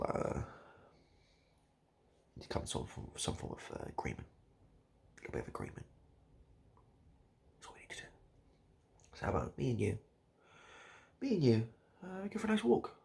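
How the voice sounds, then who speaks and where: A man improvising a comic monologue straight to the listener, halting and repeating himself in a mock-earnest, absurd way. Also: medium pitch, gravelly, a little mellow, husky. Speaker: online video host.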